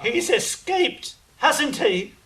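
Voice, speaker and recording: A man's voice: very high voice, very animated, very slow, very noisy, exaggerated voice. A man playing a weary middle-aged king who talks in a dry, sarcastic, put-upon way. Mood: exasperated.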